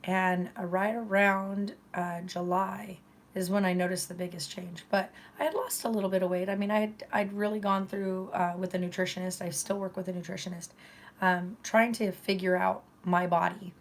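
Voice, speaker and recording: A woman hosting her own live stream, chatting casually with viewers, her voice hoarse from a recent illness, halting with many fillers and throat-clearing. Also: medium pitch, steady tone. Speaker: channel host streaming live.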